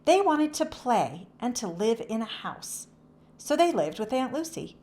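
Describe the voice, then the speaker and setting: A woman's voice: on the high side, very animated, unhurried, darkish tone. A woman narrating a children's book aloud in a scripted read-aloud style.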